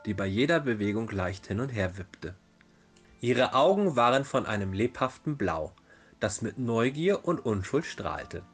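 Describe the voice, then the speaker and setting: A man narrating a fairy tale as a storyteller in a dramatized reading. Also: medium pitch. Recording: music behind the voice. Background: background music.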